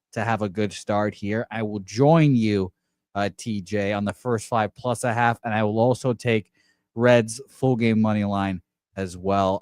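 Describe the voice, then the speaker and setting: A man for whom English is a second language talks through his betting picks in a lower voice. He is casual and corrects himself as he goes. The mic sounds dry and close. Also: no echo. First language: not English. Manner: casual, self-correcting, lots of uh. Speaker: betting analyst. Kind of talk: sports betting radio talk.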